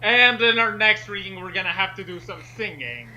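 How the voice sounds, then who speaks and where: A man chatting casually and jokingly, rambling along with halting restarts. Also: high-pitched, sing-song, dull audio, heavy background noise. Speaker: co-host reading fan fiction aloud. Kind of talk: casual chat. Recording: loud music over the voice. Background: music.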